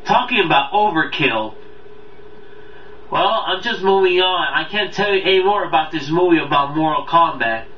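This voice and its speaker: A man hosting a casual countdown review, talking off the cuff in a rambling, halting way that often loses its thread. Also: fairly high pitch. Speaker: video countdown host and reviewer. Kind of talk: casual unscripted review talk to viewers. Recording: roomy, distant, dull mic, faint noise.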